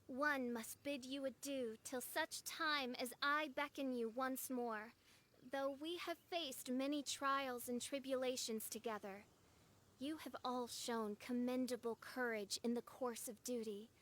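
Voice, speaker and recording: A woman's voice: sits high, slow, fairly bright tone. A woman playing a theatrical teenage girl who poses as a grandiose gothic princess in an anime game. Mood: solemn, grand. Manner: pompous, melodramatic, archaic diction.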